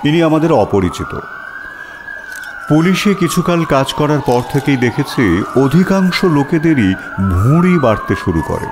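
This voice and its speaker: A man narrating a scripted detective story as an expressive, descriptive storyteller. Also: a little high, measured pace, background noise.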